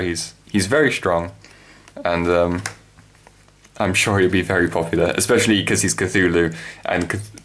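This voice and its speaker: A man presenting a casual unboxing, talking off the cuff in a hesitant, rambling way as he thinks aloud. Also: fairly low pitch. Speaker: trading card unboxing presenter. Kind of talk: casual unscripted product unboxing commentary.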